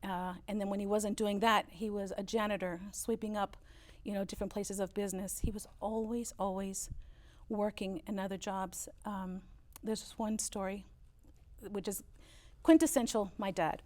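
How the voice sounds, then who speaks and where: A woman's voice: medium pitch, fairly even intonation, normal speed. A woman in her fifties whose first language is German, telling family stories as an interview guest with lots of "uh" fillers. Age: 50s. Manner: storytelling, warm recollection with frequent "uh" fillers. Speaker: interview guest, business owner. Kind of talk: relaxed interview conversation.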